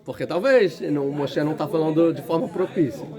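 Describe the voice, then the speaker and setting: A man with a fairly high voice teaching a class in a large room. He talks casually and thinks aloud, often breaking off and restarting his sentences. Students can be heard clearly around him. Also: fairly quick. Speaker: Torah teacher. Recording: room with crowd noise.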